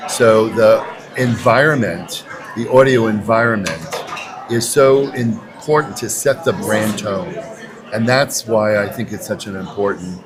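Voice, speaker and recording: Low-pitched man in his sixties, crowd behind. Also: unhurried. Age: 60s. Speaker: interview guest, advertising agency chief executive. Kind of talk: conversational podcast interview. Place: podcast booth at a busy conference. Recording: crowd chatter around the voice. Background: crowd chatter.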